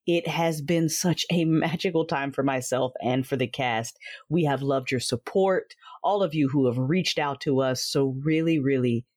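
A woman hosting her podcast, chatting warmly through closing notes and credits. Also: very deep voice, normal speed. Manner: friendly, chatty, reads credits. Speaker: podcast creator and host. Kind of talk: casual closing announcements and credits. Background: music.

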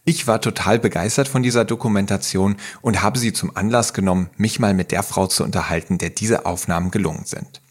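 A man's voice: on the low side, fairly quick, few pauses. A man hosting an interview podcast, introducing the episode and asking questions with friendly enthusiasm. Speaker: podcast host.

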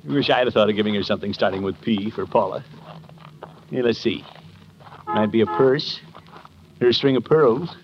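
A man's voice: fairly low pitch, moderate pace, long pauses, lightly acted. Man playing pompous middle-aged uncle, fretful, blustery mutterer, vintage radio comedy, muffled noisy recording. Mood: grumbling, brooding. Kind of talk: 1950s radio sitcom acting.

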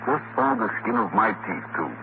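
Genial gray-haired traveler, deep voice, animated intonation, 1940s radio drama, hissy narrow recording. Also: male voice, moderate pace. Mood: reminiscing. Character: genial gray-haired man, well-traveled, easygoing uncle. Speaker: actor in a radio crime drama.

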